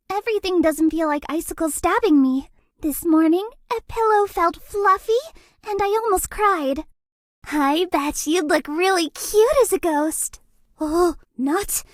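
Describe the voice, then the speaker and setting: A woman's voice: very high-pitched, a bit bright, dry recording, clean studio sound, exaggerated voice. A woman voicing a sweet, awkward young female ghost for a video game, hesitant, gushing and apologetic. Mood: hopeful, tender, then flustered, apologetic. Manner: hesitant, bubbly, gushing, self-deprecating. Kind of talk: cute video game character acting.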